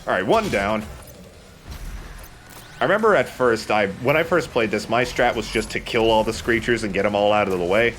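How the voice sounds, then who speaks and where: A man's voice: fairly low pitch, animated, moderate pace, fairly bright tone, a little hiss or hum. A man giving casual gameplay commentary as a let's play host.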